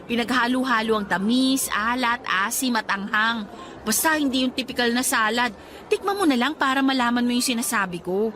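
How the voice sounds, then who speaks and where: An actress with a Filipino accent playing a cheerful, teasing young woman living abroad, in lively radio drama dialogue. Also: fairly high pitch, brisk, slight background noise, lightly acted. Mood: playful.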